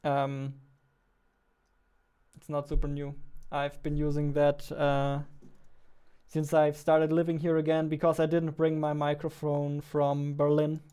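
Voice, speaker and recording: A man's voice: fairly high pitch, completely flat delivery, unhurried, dark tone, clear, resonant tone. A man in his twenties chatting casually and playfully on a livestream as he works, close on a studio mic. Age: 20s. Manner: playful, joking. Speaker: game developer streaming. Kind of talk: casual livestream chat while working. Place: indoors, small home room. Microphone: studio microphone on boom arm, headphones.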